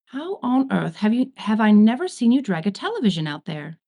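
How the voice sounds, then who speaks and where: A woman's voice: mid-range voice, sing-song, a little fast, gravelly, mellow. A woman voicing a fussy, old-fashioned male angel with prim, formal phrasing in a story reading. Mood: affronted.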